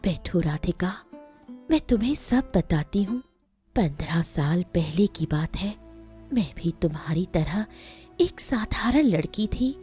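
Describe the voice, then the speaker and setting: Woman voicing a slow, sorrowful young ghost queen. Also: lowish voice, animated, dark tone, faint music behind. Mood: grieving.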